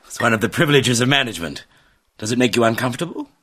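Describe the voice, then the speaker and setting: A man's voice: mid-range voice, expressive, very fast, a bit bright, a little hiss or hum, natural voice. An actor playing a cool, ambitious male assistant hotel manager, polite and guarded, in a radio drama.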